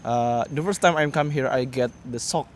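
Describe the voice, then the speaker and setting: A man's voice: medium pitch, moderate pace. Indonesian man speaking over street noise. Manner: hesitant, repeats words. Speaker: interviewee. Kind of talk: casual street interview in halting English. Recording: clear outdoor traffic noise.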